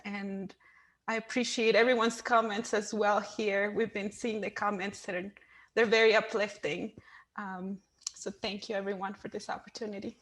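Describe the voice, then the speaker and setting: A woman giving an earnest, personal reflection to a group, pausing as she finds her words. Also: mid-range voice, fairly bright tone. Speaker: monologue writer and panel participant.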